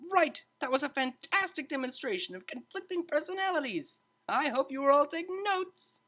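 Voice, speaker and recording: A narrator voicing a cheerful, meddling male pony psychology tutor with an animated lecturing manner. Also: high voice, moderate pace, voice pushed up. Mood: excited.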